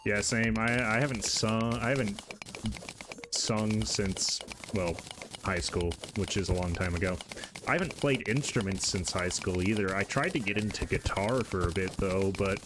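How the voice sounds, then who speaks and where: A man's voice: on the low side, moderate pace, noisy background. A man in his thirties with a light voice, chatting casually while streaming a game and rambling through answers to his chat.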